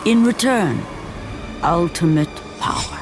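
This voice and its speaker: An actor playing a solemn, portentous storyteller, narrating a mythic video game tale in measured, dramatic phrases. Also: male voice, high voice, animated. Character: solemn omniscient storyteller of a Greek myth. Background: very loud dramatic music.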